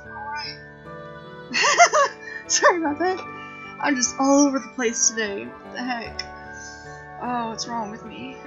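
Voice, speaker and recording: A woman's voice: high-pitched, lively intonation, a little slow, pauses often. A woman game streamer chatting casually to her viewers in a scattered, self-deprecating way. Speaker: game livestreamer. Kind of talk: casual livestream chat. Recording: dull lo-fi mic, music behind. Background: background music.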